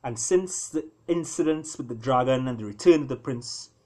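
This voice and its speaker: A man reading his own fiction aloud as a storyteller, with theatrical pauses and emphasis. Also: medium pitch, a little mellow. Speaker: author reading own novella. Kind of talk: storytelling, dramatic scripted narration.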